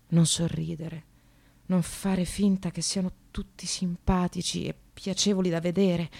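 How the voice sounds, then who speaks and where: An actress playing a bitter, wry, educated receptionist in precarious work, delivering an expressive theatrical radio monologue. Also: female voice, fairly low pitch, creaky. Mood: wry, weary. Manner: sardonic, expressive, swearing, addressing the audience.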